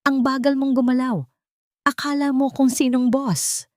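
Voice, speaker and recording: A bossy young supervisor played by a woman narrator barks scornfully in an audio drama. The voice is pushed up high and loud, recorded dry. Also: level tone, moderate pace, no room sound, close to an everyday voice. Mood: scornful anger. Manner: shouting, scornful. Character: bossy young supervisor barking orders at older worker.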